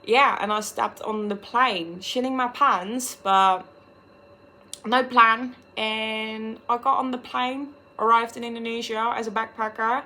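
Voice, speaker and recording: A woman's voice: on the high side, steady tone, unhurried, bright, forceful tone. A woman in her twenties from the Netherlands, speaking English with a Dutch accent, talking frankly and swearing freely in a candid personal vlog. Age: 20s. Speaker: vlogger.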